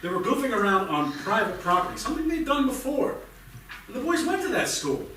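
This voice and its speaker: A man's voice: extremely high pitch, big pitch swings, fairly quick. Man acting a combative dad, echoey and distant. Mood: defensive. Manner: insistent, argumentative, stumbling over restarts. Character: defensive, combative father shielding his teenage son. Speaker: stage actor in a staged reading. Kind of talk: live staged play reading, naturalistic. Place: indoor theatre stage, recorded from a distance. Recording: echoey, distant theatre recording with faint noise.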